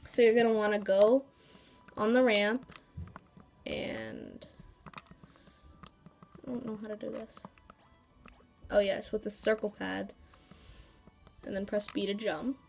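A woman with a slight cold, sniffling now and then, gives a casual walkthrough of a handheld game. Her voice sits at an ordinary middle pitch, and she rambles with fillers and small asides. Soft game music plays faintly behind her. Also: lively intonation, takes it slowly, gravelly, pauses often. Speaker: gaming YouTuber.

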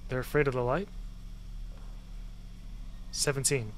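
A man giving casual let's-play commentary on a horror game, talking to himself, repeating phrases and getting excitable when startled. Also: mid-range voice, unhurried, slight background noise. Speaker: host, gamer. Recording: loud game soundtrack under the voice. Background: loud horror game music and sound.

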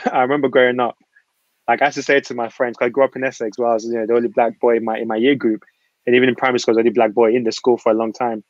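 A man's voice: mid-range voice, dry recording. A man who grew up in Ghana, speaking earnestly on a panel over a video call, with lots of filler phrases. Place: small room at home. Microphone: laptop webcam on a video call. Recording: muffled video-call audio.

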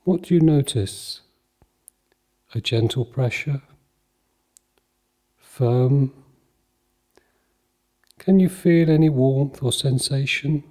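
A man guides a mindfulness meditation in a mellow, relaxed voice of medium pitch. He speaks very slowly and soothingly, in short measured phrases with generous pauses between them.

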